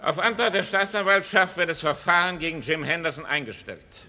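Sharp-voiced stern judge. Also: male voice, a little high, fairly quick. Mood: stern, formal. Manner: formal, commanding. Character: stern, formal male judge presiding over a trial. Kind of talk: 1970s German radio play acting.

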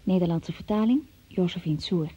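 A woman announces radio play credits in a scripted style. Her voice is lowish, very mellow and husky. The recording is dull and muffled, like old radio. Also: normal speed.

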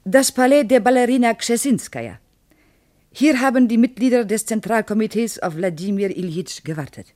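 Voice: medium pitch, normal speed, darkish tone, natural voice. A performer playing an earnest, reverent female Soviet tour guide in a radio play, reciting history. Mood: earnest, proud.

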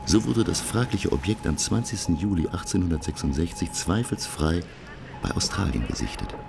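An actor playing a learned, middle-aged French professor who narrates his sea adventure in a radio play with formal, measured, dramatic delivery and a gravelly voice. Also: deep voice, expressive, over music. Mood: intrigued, suspenseful. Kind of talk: radio play first-person narration, literary.

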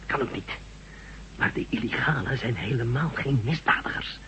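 A man plays a badly wounded Russian official in a radio drama. His voice is tense and sharp, yet he labors for breath and speaks in halting, pleading bursts. A faint noise lies over the recording. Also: medium pitch, natural voice, low-quality recording. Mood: grave, urgent. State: weak, wounded, short of breath.

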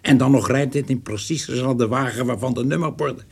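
A shrewd police investigator in a radio drama. His mid-range voice is a little rough, and his speech is formal and measured, patient while applying calm pressure. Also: medium pitch. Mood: measured, probing. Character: shrewd, patient male police narcotics investigator, calmly coercive. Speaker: actor in a radio play. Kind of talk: Dutch radio drama acting.

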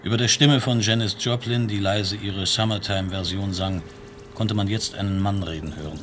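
A man's voice: fairly low pitch, fairly even intonation, moderate pace, fairly bright tone, a little hiss or hum, natural voice. A man narrating a science-fiction detective radio play in a sober, detached, descriptive way.

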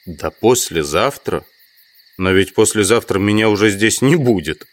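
An actor playing an inquisitive, sceptical insect collector from the city in an audio drama. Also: male voice, fairly low pitch, animated, unhurried. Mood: puzzled, polite. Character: male amateur entomologist, inquisitive, sceptical, politely insistent city visitor. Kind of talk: Russian audio drama, dialogue and inner monologue.

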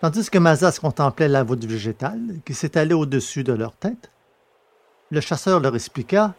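A man's voice: on the high side, sing-song, normal speed. A man presenting a podcast and narrating a science fiction serial in carefully phrased, literary read speech. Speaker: podcast host and audiobook narrator.

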